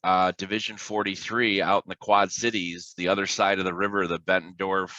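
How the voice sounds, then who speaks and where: A man's voice: deep voice, level tone, brisk, sharp tone, dry recording, dull audio. An American man talking casually as a podcast guest, explaining his dispatch work in an informal, plain-spoken way. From Illinois, United States. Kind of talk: casual explanatory interview talk with anecdotes.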